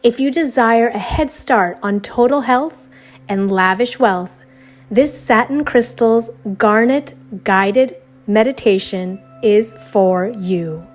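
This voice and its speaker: Female meditation guide, unhurried scripted read, mid-range pitch, soft music underneath. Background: soft background music.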